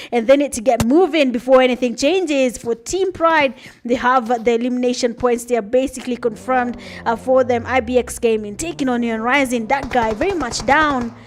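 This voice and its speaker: High-voiced Kenyan woman casting a game. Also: steady tone, normal speed, a little hiss or hum. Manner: chatty, laughs at herself, frequent uh fillers. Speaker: esports caster. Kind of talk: live play-by-play commentary.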